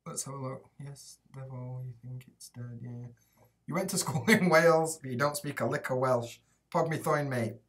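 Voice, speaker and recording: A man's voice: medium pitch, moderate pace, fairly bright tone, distant mic. A young Yorkshire man chatting loosely to his viewers, dropping swear words and "mate" as he goes. His voice sits a little back from the webcam mic in a small indoor room. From England, Rotherham area. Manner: casual, sweary, laid-back banter with lots of "like" and "mate". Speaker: YouTuber living in Japan. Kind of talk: live stream Q&A reading chat.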